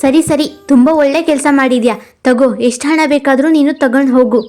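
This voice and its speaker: A woman's voice: sits high, a little fast, a little mellow, lightly acted. A woman voicing a greedy, bossy rich merchant man in a cartoon, harsh and commanding.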